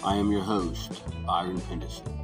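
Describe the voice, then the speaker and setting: A man hosting a podcast, giving a scripted introduction in a measured, deliberate way. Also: deep voice, steady tone, music in the background. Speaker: podcast host.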